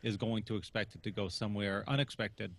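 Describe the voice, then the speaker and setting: A man's voice: fairly low pitch, fairly even intonation, a little fast, creaky, fairly bright tone. A man casually discussing films as a podcast reviewer, opinionated and emphatic, with some casual swearing.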